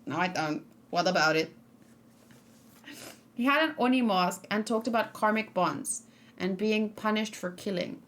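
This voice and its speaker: A woman streamer talking at an ordinary, middling pitch, chatting casually with her viewers during a live stream. She thinks out loud, drifts between thoughts, and often pauses to restart a sentence. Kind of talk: casual live-stream chat, reacting and reading chat.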